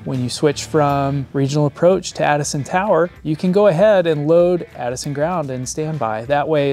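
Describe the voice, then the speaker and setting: A man in his thirties presents a lesson as an instructor, plain-spoken and explanatory. His voice is mellow and sits a little higher than average. He is miked close indoors, with some music behind him. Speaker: flight instructor. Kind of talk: scripted instructional presentation to camera. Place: indoor studio set, seated. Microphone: lapel mic.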